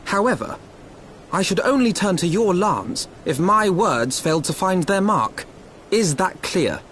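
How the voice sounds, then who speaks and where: Earnest, high-pitched young diplomat. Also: male voice, big pitch swings, measured pace, a bit bright, slight background noise, full character voice. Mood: firm. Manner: polite, eloquent, earnest. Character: earnest, courteous young scholar-diplomat, idealistic and firm. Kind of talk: scripted fantasy game dialogue, archaic formal English.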